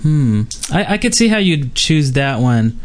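A man's voice: fairly high pitch, very animated, unhurried. A man co-hosting a casual gaming podcast, laughing a lot and hesitating with fillers. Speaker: podcast co-host.

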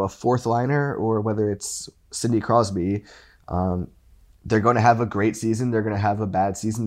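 A man's voice: lowish voice, a little mellow. A man guest on a sports podcast, still recovering from an illness and coughing now and then, talking in a rambling way with many restarts. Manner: rambling, full of fillers and restarts. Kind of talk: casual sports podcast chat.

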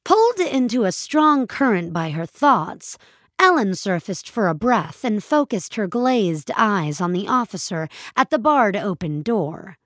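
Slow, expressive woman narrating fiction. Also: mid-range voice, no echo, studio quality. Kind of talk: scripted dramatic fiction narration.

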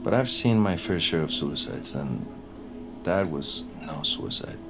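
An actor playing a weary, haunted retired detective in his sixties, talking plainly and haltingly in an audio drama. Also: low-pitched, animated. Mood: grim, brooding. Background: music.

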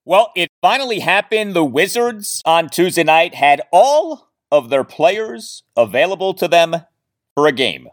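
A man hosting a sports podcast, recapping games and statistics with emphatic, deliberate phrasing. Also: fairly high pitch, sing-song. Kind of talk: sports recap commentary with stats.

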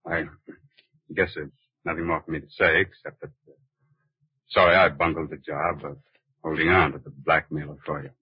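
An actor playing a remorseful, injured man confessing in a halting, resigned, deferential way, in a 1940s radio drama. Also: a bass voice, level tone, breathy edge, no room sound, exaggerated voice. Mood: weary remorse. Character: remorseful, injured male hit-and-run driver confessing. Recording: muffled old radio broadcast recording.

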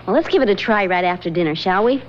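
A woman's voice: medium pitch, lively intonation, quick pace, natural voice. An actress playing a skeptical young woman roommate with dry, teasing quips in a 1970s radio drama. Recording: muffled old radio broadcast with hiss.

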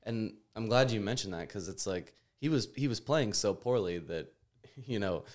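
A man hosting a soccer podcast in casual, unscripted group discussion, often thinking aloud in a halting way. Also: fairly low pitch, animated, a little mellow. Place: in-person group podcast setup. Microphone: podcast microphone.

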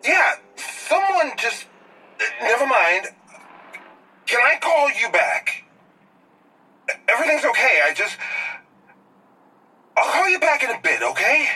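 Shaken evasive guy, husky, voice swings all over, sounds distant, radio drama style. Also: very high voice, big pitch swings, takes it slowly, long pauses, sharp tone, heavily acted. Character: rattled, evasive man brushing off danger. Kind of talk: naturalistic audio drama dialogue.

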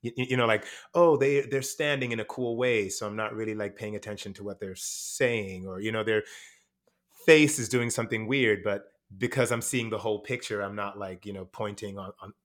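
Low-voiced reflective man, Jamaican-born. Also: fairly quick, a bit bright. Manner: reflective, many fillers and restarts. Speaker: actor and teacher. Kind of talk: casual interview conversation.

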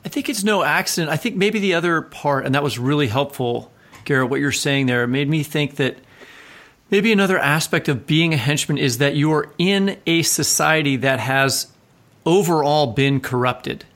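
A man's voice: a little high, moderate pace, fairly bright tone. A man co-hosting a conversational literary discussion, engaged and thinking aloud with repeated words.

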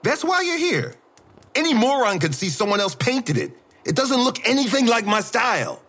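An irritable male artist in an audio drama. His voice is high for a man and swings widely in pitch. He is guarded, curt and combative, with a defiant temper. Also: sing-song, moderate pace, fairly bright tone, full character voice. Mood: irritated.

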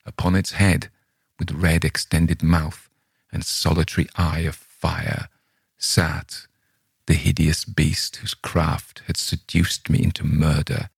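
A man narrates as a condemned Gothic murderer making his confession. The voice is low, grave and deliberate, in a very dry audiobook sound. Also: vocal fry, breathy edge, no echo, heavily acted. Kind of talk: dramatic audiobook reading of Gothic horror.

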